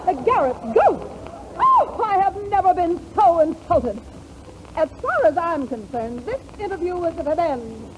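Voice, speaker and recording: A woman's voice: extremely high pitch, wildly swooping pitch, measured pace, full character voice. A woman playing a haughty, easily offended lady in a 1940s radio comedy, indignant in manner. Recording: noisy 1940 radio recording.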